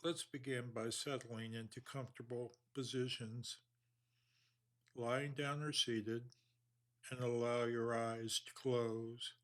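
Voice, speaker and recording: A man leading a guided body scan meditation in an unhurried, measured, soothing manner with long pauses. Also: mid-range voice.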